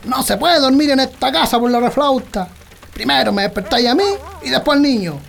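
Radio comedy: stubborn old Chilean peasant husband played by a man, creaky, sleepy, forever grumbling. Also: very high voice, fairly quick, a bit bright, heavily acted. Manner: grumbling, colloquial. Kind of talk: comic radio drama acting.

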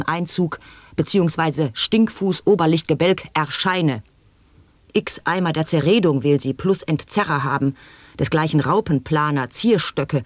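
An actor in a radio play declaiming nonsense sound poetry in slow, halting word-by-word exclamations. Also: male voice, a little high, dry recording.

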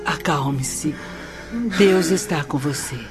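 Low-voiced soothing medium. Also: female voice, slow pace, over music. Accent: Brazilian. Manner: soothing, consoling. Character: gentle young female medium, soothing and devout. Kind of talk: Brazilian radio drama dialogue.

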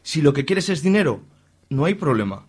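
Male actor as middle-aged father, husky, angry, threatening, accent from Spain, radio drama. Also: fairly high pitch, very animated, moderate pace, darkish tone. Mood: stern, threatening. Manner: stern, threatening. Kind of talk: amateur radio soap acting.

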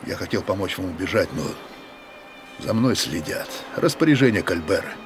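A deep-voiced musketeer commander, loyal and earnest. His dramatic radio-play lines run over clear music. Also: animated, normal speed. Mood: concerned, urgent. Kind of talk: Russian radio play acting.

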